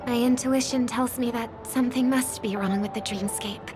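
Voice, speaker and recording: An actress playing a gentle young singer and devoted younger sister in a game cutscene, speaking in a soft, hushed way. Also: female voice, fairly high pitch, steady tone, lightly acted. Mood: hushed worry. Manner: soft-spoken, slow and hushed. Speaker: game character. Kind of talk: voiced game cutscene dialogue. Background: game music.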